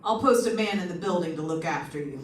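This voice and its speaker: An actor playing a brisk, matter-of-fact police lieutenant in an old-time radio detective drama. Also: mid-range voice, expressive, close to an everyday voice. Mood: brisk, businesslike. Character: businesslike police homicide lieutenant. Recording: echoey, distant, noisy room.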